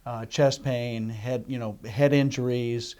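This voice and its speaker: Male host in middle age, average pitch, conversational questioner who feels his way haltingly through long questions. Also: fairly even intonation, warm tone. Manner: halting, recapping, circling into questions. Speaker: interview host, doctor.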